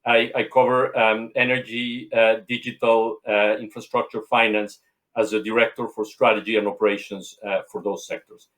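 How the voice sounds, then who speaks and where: A man's voice: on the low side, level tone, normal speed, fairly bright tone. A man from Chile giving conversational panel remarks, hesitant and often repeating words as he goes. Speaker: transport director, conference co-host. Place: video call.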